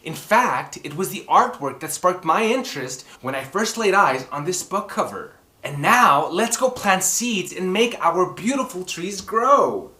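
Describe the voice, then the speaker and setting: A man's voice: high voice, expressive, unhurried, hardly any pauses, pushed and bright. A man presenting and reading children's books as a story-time host, with a theatrical, exclamatory manner that speaks straight to young listeners. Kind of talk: animated children's book presenting and read-aloud. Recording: roomy echoing room sound.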